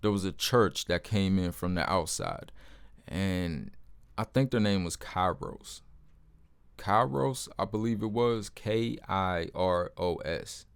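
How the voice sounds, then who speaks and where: A deep-voiced man talks straight to his viewers in a casual, plain-spoken way. His speech is blunt and informal, with words like "man" scattered through. His voice sits low. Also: takes it slowly, vocal fry, clean tone. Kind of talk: casual testimony to camera.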